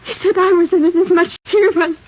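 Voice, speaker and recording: High-voiced weary wife, old radio drama. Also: female voice, fairly even intonation, fast talker. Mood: weary, confessing. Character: weary, devoted young wife of a killer. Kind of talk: 1950s radio crime drama acting. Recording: muffled, noisy old radio broadcast.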